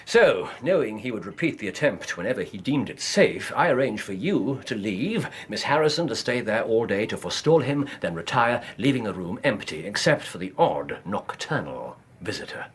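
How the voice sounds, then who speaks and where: An actor playing a brilliant, commanding Victorian detective in a radio drama, with an English accent, a husky voice and precise, theatrical emphasis. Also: male voice, lowish voice, sing-song, normal speed, hardly any pauses. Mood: confident, explanatory.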